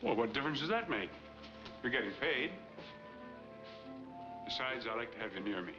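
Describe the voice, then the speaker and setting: A man's voice: medium pitch, very animated, normal speed, close to an everyday voice. An actor playing a pleading, lovesick middle-aged married man in a 1940s film, earnest and insistent. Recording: dull 1940s film soundtrack with music.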